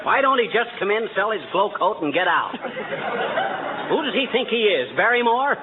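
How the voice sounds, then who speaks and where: An actor playing a blustering, self-important middle-aged husband who grumbles and quips in a 1940s radio comedy. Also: male voice, sits high, sing-song, moderate pace, a crowd in the background. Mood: indignant. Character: blustering, put-upon middle-aged husband with grand ideas. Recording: muffled, noisy vintage radio with audience.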